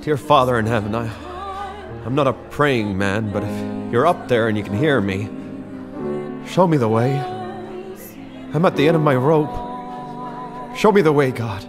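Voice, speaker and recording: Radio play lead with music behind: male actor as broken, stammering young man, mid-pitched, widely varying. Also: lively intonation, natural voice. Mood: despairing. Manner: halting, pleading, stammering. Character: desperate, broken, decent young businessman.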